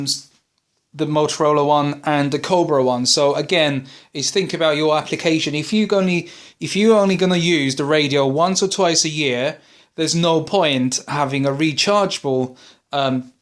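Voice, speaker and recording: A man presenting an informal product buying guide, explaining things plainly in an unscripted, advisory way. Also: a little high.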